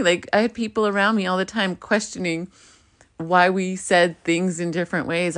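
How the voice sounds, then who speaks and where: A middle-aged woman hosting her own solo podcast, talking earnestly and reflectively, getting animated when she acts out what people say. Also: fairly low pitch. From United States. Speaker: podcast host.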